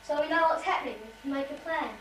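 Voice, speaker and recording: A very high, young female voice acting as an earnest good-guy puppet making plans. The delivery is halting, and the home video sounds echoey, distant and a bit noisy. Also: a bit bright. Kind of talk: amateur puppet show acting.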